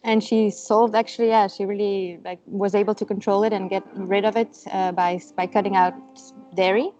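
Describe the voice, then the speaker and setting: A woman from Belgium, a native Dutch speaker, talks easily as an interview guest, with frequent fillers and little laughs. Her voice sits at a middle pitch. The sound comes through a dull, lo-fi video call. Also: fairly even intonation, normal speed, warm tone, soft background music. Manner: chatty, laughs often, many fillers.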